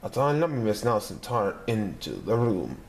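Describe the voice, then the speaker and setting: A man reading a children's picture book aloud in a lively, expressive storytelling manner. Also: lowish voice, moderate pace, vocal fry, slight background noise. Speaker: narrator.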